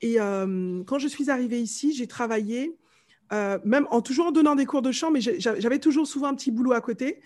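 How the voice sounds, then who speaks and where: A middle-aged woman from Martinique with a light Martinican accent, a vocal coach telling stories in a casual interview, animated and fond of mimicking voices. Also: on the high side, fairly quick. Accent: light Martinican French.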